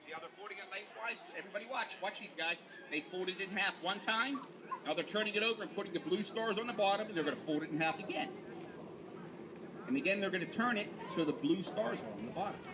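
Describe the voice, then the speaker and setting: A man with a fairly high voice presents to children in a simple teaching style. He poses questions and explains plainly, with clear outdoor noise around him. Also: expressive, fast. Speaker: flag etiquette presenter. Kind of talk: educational talk to children. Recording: noisy outdoor event recording.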